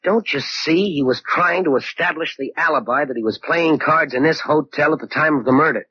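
An actor playing a cool, needling tough detective with slangy, confident talk in a 1940s radio drama. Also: male voice, a little high, fairly quick, husky. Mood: confident, explaining. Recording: muffled old 1940s radio recording.

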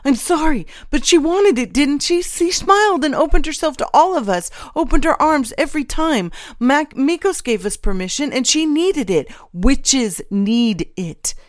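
A woman's voice: lowish voice, takes it slowly, hardly any pauses, no room sound, clean studio sound. A woman voicing a craven old male traitor in an audiobook, whining and pleading frantically in a weak voice. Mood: whining, defensive. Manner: frantic pleading with repeated phrases.

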